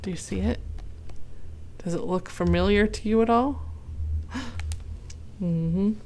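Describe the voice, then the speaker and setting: A young adult woman reading a picture book aloud to children, gently pausing to ask the listeners questions. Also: mid-range voice. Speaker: children's story reader. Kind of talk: read-aloud storytime for kids.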